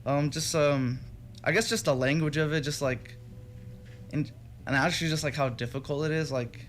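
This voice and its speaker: A man's voice: on the high side, fairly even intonation, normal speed, a bit bright. A young man from Texas, a music student guest, chatting casually in an interview with many fillers and restarts. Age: young adult. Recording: radio studio with faint music behind.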